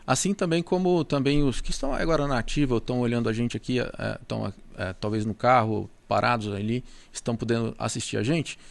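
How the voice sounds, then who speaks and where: A man's voice: medium pitch, fast, creaky, hardly any pauses. A man hosting a podcast, asking friendly, chatty questions in a casual interview.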